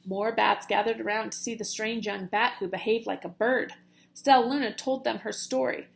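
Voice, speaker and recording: Expressive woman reading a storybook, high voice. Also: moderate pace, gravelly, a little hiss or hum. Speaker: teacher reading aloud. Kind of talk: expressive children's storybook narration.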